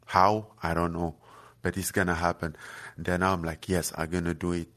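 Deep voiced cameroonian guy, lots of uh and like, earnest chat. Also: flat delivery, a bit bright. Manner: halting, many fillers and restarts, earnest. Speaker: guest fighter. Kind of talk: casual interview chat.

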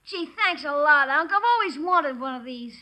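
An actor playing an excitable, wisecracking young American schoolboy in 1940s radio comedy, slangy and full of exclamations. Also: sits high, normal speed, full character voice. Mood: excited.

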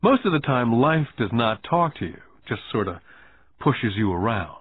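Guy doing a chill shrewd businessman mentor, slow and knowing, dry tinny sound. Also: mid-range voice, breathy edge, dry recording. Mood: teaching. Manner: calm, measured and quietly knowing. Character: calm, shrewd older male businessman mentor. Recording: tinny phone-like band.

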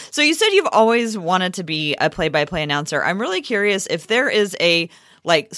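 Woman cohosting a podcast, kinda low voice, asking questions, totally dry sound. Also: fairly bright tone, dry recording. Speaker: podcast co-host, interviewer. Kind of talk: conversational interview questions.

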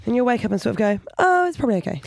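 A woman co-hosting a podcast in casual chat, hoarse from a bad cough, with teasing, dry quips. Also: a little high, big pitch swings, very fast, no echo. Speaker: podcast co-host.

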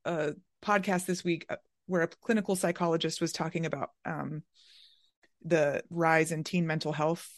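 A woman's voice: low voice, fairly even intonation, normal speed, no echo, studio quality. A woman hosting an interview in a casual, thoughtful conversational style. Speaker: interviewer, podcast host.

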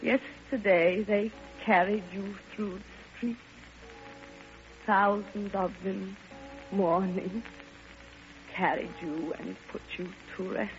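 Old radio drama: lovelorn older woman, impassioned and halting, very unhurried, muffled vintage recording with music. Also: mid-range voice, fairly even intonation. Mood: grieving longing. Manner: impassioned, theatrical, halting delivery. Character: grieving, lovelorn old woman pouring out her heart. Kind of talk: classic radio drama monologue.

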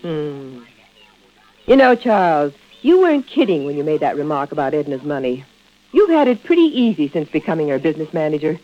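A woman's voice: sits low, very animated, fairly quick. An actress playing a stern, sardonic woman in a 1940s-style radio drama, blunt and cutting as she accuses. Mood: sardonic, accusing. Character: stern, sardonic woman, loyal friend of betrayed wife. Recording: muffled old radio drama recording.

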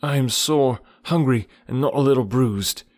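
Storybook jungle boy, young and earnest, plaintive raised voice pitched high by an adult male narrator. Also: moderate pace, a little mellow, no echo, studio quality, natural voice. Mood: sore, concerned.

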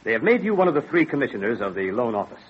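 Very husky, dignified colonial scholar. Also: male voice, mid-range voice, sing-song, racing. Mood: proclaiming. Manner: formal, emphatic, oratorical. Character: urgent, dignified colonial scholar bringing honors to a friend. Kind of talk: old-time radio drama acting. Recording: dull vintage radio recording.

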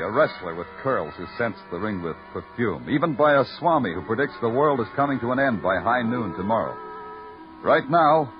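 A world-weary noir police lieutenant, voiced by a man, narrating in a terse, wry tone with lively swings in pitch. Music plays clearly behind him, and the audio has the dull, muffled sound of vintage radio. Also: natural voice. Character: hardboiled male police lieutenant narrating his case. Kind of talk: hardboiled first-person radio narration.

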